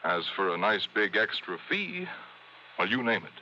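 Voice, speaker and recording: A man's voice: lowish voice, big pitch swings, normal speed, sharp tone, exaggerated voice. A man playing a harried, earnest insurance official in a vintage radio drama, apologetic and pleading. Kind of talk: 1950s-60s radio drama acting. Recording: dull, noisy old radio recording.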